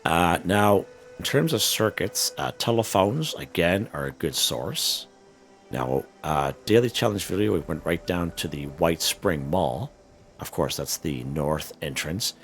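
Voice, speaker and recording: Hoarse, very deep-voiced man. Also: animated, unhurried, fairly bright tone, faint music behind. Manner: chatty, frequent fillers and "okay?" check-ins. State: hoarse, losing his voice. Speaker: gaming channel host. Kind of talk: casual gameplay walkthrough commentary.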